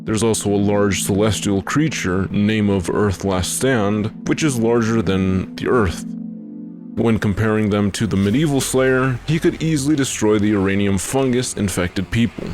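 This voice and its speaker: A man's voice: fairly low pitch, moderate pace, darkish tone, soft background music. A man narrating a scripted video essay as its host.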